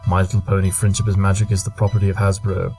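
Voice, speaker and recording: A man playing an aloof, analytical pony detective in a cartoon audio drama, speaking in a measured, pompous way. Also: sits low, level tone, a little mellow. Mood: brisk, composed. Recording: music playing behind.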